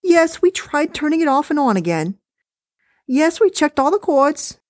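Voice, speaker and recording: A woman voicing a fussy, chatty older wife talking on the phone, high-pitched and bossy. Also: big pitch swings, moderate pace, dry recording, clean studio sound. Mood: chirpy, bossy.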